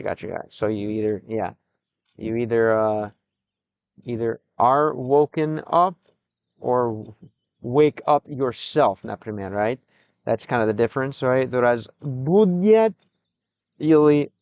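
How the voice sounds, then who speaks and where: American man streaming in halting Russian. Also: mid-range voice, vocal fry, a little mellow, no echo, highs cut off. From United States. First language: English. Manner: halting, mixes Russian and English, reads chat aloud. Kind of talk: casual live stream chat with viewers.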